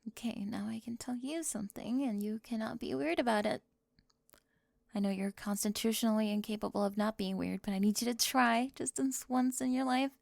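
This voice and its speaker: Woman, mid-pitched, gravelly edge, dry close whispers, teasing roleplay delivery. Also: steady tone, brisk, no echo. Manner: teasing, sharp-tongued, moving between whispers and soft speech. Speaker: ASMR roleplay performer.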